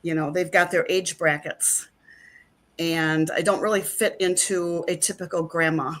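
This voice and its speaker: A woman's voice: fairly low pitch, steady tone, unhurried. A middle-aged woman chatting as an interview guest, rambling with plenty of fillers. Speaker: actress and guest. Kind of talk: casual interview chat.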